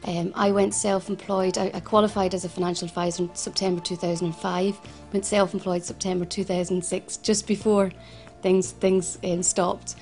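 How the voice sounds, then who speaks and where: Glaswegian woman telling her story, flat delivery. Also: fairly low pitch, moderate pace, few pauses, faint music behind. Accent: Scottish, Glasgow. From Scotland. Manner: earnest, frequent restarts and repetitions. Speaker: founder of a financial advice service. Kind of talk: personal interview testimony.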